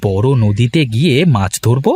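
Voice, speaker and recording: An actor voicing a poor village fisherman in a cartoon, cheerful and boastful, with a husky voice. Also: medium pitch, lively intonation, normal speed, mellow. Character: poor village fisherman turned eager trader. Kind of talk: Bengali cartoon voice acting.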